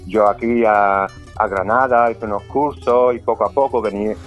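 A man from Scotland speaking Spanish as a second language, a flamenco guitarist being interviewed, who talks haltingly with many restarts and fillers. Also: on the low side, fairly even intonation. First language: English. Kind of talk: casual interview. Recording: faint guitar music behind.

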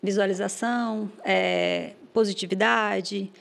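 A Brazilian woman chatting as a podcast guest, telling stories in a lively way with many restarts. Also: mid-range voice, slow, creaky. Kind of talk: casual chat.

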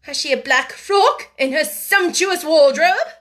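A woman's voice: high-pitched, unhurried, a bit bright, pitched above the performer's own voice. A woman reading a storybook, voicing a furious, cruel schoolmistress with a fierce, imperious, biting manner. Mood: fierce, bitter.

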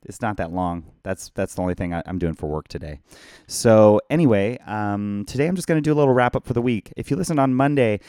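A hoarse, tired man hosting a casual live-streamed podcast from his phone, rambling and chatty as he thanks viewers by name. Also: fairly low pitch, animated, fast talker, warm tone, no room sound, clean studio sound. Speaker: podcast host.

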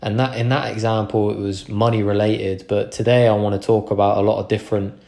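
A man's voice: fairly low pitch, little pitch movement, brisk, creaky, mellow. A young man hosting a podcast, talking through a casual motivational monologue in an earnest, rambling way with frequent restarts. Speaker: podcast host.

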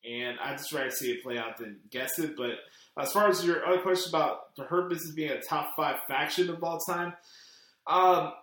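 A man's voice: on the high side, normal speed, fairly bright tone. Echoey man hosting a podcast. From Chicago, United States. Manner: rambling, many fillers and restarts. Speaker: podcast host. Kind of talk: casual podcast Q&A. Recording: very echoey room.

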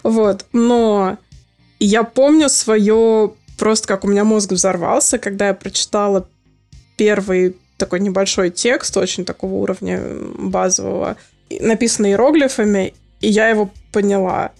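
A woman's voice: medium pitch, steady tone, normal speed, darkish tone, soft background music. A woman guest on a podcast, chatting casually with many fillers and frequent laughs. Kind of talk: casual chat.

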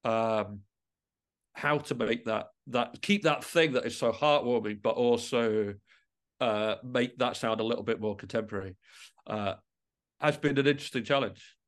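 A man gives a thoughtful, conversational interview as a musician and composer. He talks reflectively at a middling pitch, often pausing mid-thought and rephrasing as he works out what he means.

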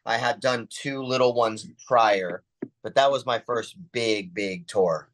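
A man's voice: mid-range voice, slow, slight background noise. An American man, a rapper, chatting casually as an interview guest. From United States. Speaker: musician guest, underground rapper.

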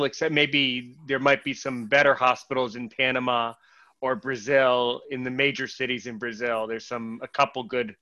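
Bright-voiced man hosting a podcast, lo-fi. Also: mid-range voice. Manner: rambling, lots of fillers and restarts. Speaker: podcast host.

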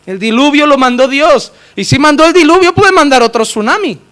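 Impassioned high-voiced male preacher. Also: lively intonation, brisk. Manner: impassioned preaching, swings between shouting and low quiet asides. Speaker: pastor. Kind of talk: sermon.

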